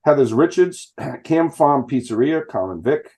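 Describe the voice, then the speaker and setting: A man's voice: medium pitch, a little slow. A man, reading a list of license renewals aloud at a town select board meeting, with some fumbles and restarts. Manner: reads names in a flat list with fumbles and restarts. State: stuffed up from illness.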